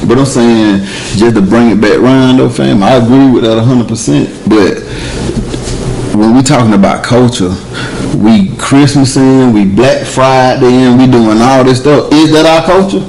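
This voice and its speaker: Animated man in casual talk. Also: moderate pace, a little hiss or hum, low-quality recording. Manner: animated, emphatic, rising pitch when arguing. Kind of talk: casual discussion.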